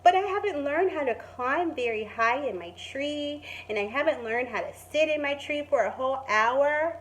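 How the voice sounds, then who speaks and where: A woman's voice: sits high, lively intonation, normal speed, a little hiss or hum, poor audio. A woman reading aloud as an earnest, plain-spoken little schoolgirl in a storybook, with simple childlike phrasing.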